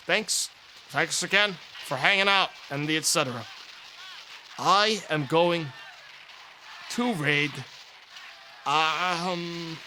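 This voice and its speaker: A young man with a hoarse, raspy voice, casually chatting to his viewers on a live stream and thanking subscribers in a rambling, offhand way. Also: fairly high pitch, pushed and bright, slight background noise. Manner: rambling, offhand, trails into mumbles.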